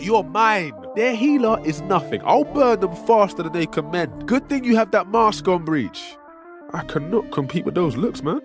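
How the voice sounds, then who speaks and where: A cocky young fire hero in a game. His voice sits high and bounces with lively swings, and soft music plays behind. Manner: cocky, boastful. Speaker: game character.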